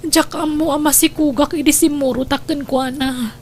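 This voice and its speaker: Actress as high-voiced, pleading young wife. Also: female voice, steady tone, moderate pace, a little hiss or hum, close to an everyday voice. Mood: subdued remorse. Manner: plaintive, imploring. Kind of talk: melodramatic audio drama acting.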